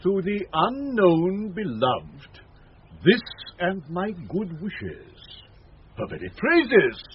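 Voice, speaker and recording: An actor playing a pompous, self-loving steward lost in grand daydreams, savouring each word in a vintage radio Shakespeare comedy. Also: male voice, high voice, huge pitch swings, extremely slow, heavily acted. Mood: excited, puzzling. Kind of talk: 1970s radio Shakespeare acting. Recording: dull vintage radio recording with faint hiss.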